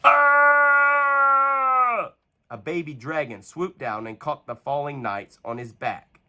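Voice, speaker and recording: A man reading a children's picture book aloud with expressive, animated storytelling. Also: mid-range voice, moderate pace.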